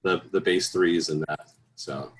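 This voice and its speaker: Male guest, low speaking voice, casual and halting, plenty of restarts and filler sounds. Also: steady tone, poor audio. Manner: easygoing, many restarts and "uh"s, chuckles at his own stories. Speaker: choral bass singer, guest. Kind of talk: relaxed interview answers.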